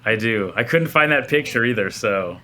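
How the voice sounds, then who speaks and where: A man's voice: on the low side, expressive, a crowd in the background. A man in his thirties from Nebraska, an English teacher joking around as a podcast guest on a call. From Nebraska, USA. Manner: playful, quick banter. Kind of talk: casual chat. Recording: phone call.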